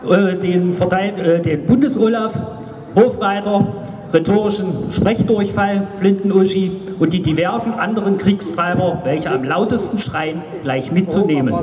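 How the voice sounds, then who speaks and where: A man in his fifties giving an emphatic, declamatory political speech at a rally, partly read from notes, over a loudspeaker outdoors. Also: high voice, slight background noise. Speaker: speaker at a political street rally. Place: outdoors on a cobbled town square at night. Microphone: handheld microphone through loudspeaker, recorded by a far camera. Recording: echoey outdoor PA, far from camera.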